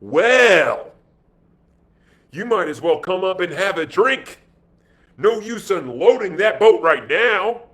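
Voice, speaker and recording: A man's voice: sits high, very animated, takes it slowly, pauses often, fairly bright tone, voice pushed up, exaggerated voice. A man voicing a big, sly American gangster in an adventure story, slangy and sardonic. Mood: slyly friendly.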